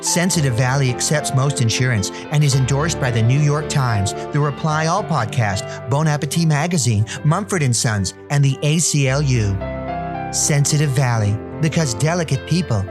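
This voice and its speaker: A man co-hosting a comedy podcast, reading a parody ad and the show intro in a measured announcer style. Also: medium pitch, music in the background. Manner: smooth, measured announcer delivery. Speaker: podcast co-host. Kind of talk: scripted announcer read.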